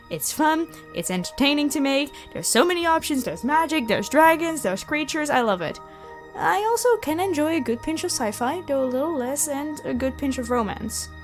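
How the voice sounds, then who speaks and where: A woman with an enthusiastic, expressive way of talking, casually answering questions as the host of her own channel. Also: high voice. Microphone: close USB microphone. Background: background music.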